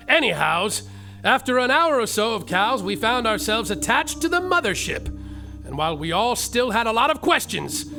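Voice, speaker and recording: A man plays a brash, excitable detective telling a comic space tale. His very high, bright voice swoops theatrically over faint music. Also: full character voice. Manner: theatrical, exclamatory. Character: brash, foul-mouthed, excitable male detective narrating space adventure. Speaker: lead actor and narrator. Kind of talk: comedic live storytelling, mock-detective narration.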